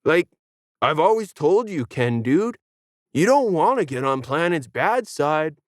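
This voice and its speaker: A man's voice: a little high, sing-song, unhurried, no echo, clean studio sound. A man voicing an easygoing, slangy hippie neighbour, a friendly dude in an audio science fiction story. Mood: laid-back.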